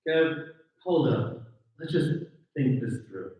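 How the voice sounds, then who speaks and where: A man's voice: mid-range voice, animated, takes it slowly. Exasperated, reluctant best friend male actor, script read, distant dull mic. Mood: cautious. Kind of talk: live table read of a short film script. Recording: echoey room, distant mic, dull sound.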